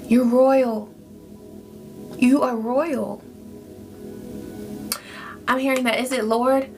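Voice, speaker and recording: A woman's voice: sits high, steady tone. Soothing woman giving a tarot reading. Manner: soothing, affirming, speaks slowly to a listener. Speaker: tarot reader. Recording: music playing behind.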